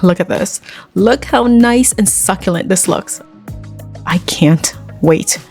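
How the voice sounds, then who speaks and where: A woman's voice: mid-range voice, takes it slowly, gravelly, darkish tone. A woman hosting a cooking video, walking through a recipe in a chatty, conversational way with personal asides. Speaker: cooking channel host. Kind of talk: cooking tutorial with personal chat. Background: faint background music.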